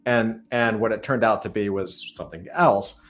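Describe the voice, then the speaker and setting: A man hosting a casual podcast conversation, thinking out loud with frequent restarts and "right?". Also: fairly low pitch, normal speed, faint music behind.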